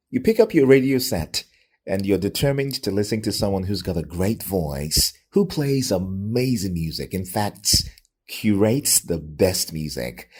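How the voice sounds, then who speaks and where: Deep-voiced Nigerian man, slightly husky. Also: darkish tone. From Lagos, Nigeria. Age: middle-aged. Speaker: radio presenter. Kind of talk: reflective personal storytelling in an interview.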